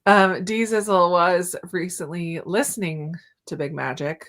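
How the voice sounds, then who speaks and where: A woman's voice: fairly low pitch, steady tone, unhurried, a bit bright. A woman hosting a casual book discussion, chatting informally and often laughing through her words.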